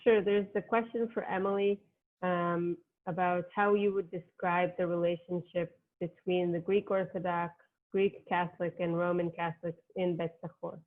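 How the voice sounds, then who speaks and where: A woman with a lowish, flat voice moderates the panel in a brisk, friendly way. The sound is thin and phone-like.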